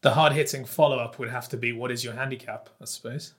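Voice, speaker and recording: A man hosting an interview, reading out segment introductions and putting questions to his guest. He slips in light, joking remarks between the questions. His voice sits at an ordinary pitch for a man. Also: fairly even intonation, fast. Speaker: interview host.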